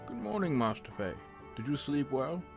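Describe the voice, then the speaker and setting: A man's voice: mid-range voice, big pitch swings, moderate pace, gravelly, lo-fi sound, over music. A man playing a kindly, deferential retainer who speaks formally and politely in a radio drama. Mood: cheerful. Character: courteous, kindly male retainer, gentle and deferential. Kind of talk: fan radio drama acting.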